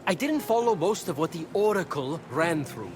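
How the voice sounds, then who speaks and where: An actor playing a glib, wry and playful trickster messenger god in an animated video game. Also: male voice, a little high, moderate pace, noisy background. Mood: flippant, teasing. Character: quick-witted male trickster messenger god, flippant and playful.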